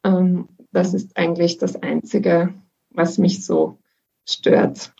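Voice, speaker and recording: Gravelly, husky young woman. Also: lowish voice, takes it slowly, highs cut off. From Austria. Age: 20s. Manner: calm, informative, with many fillers. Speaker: guest. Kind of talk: explaining in an interview.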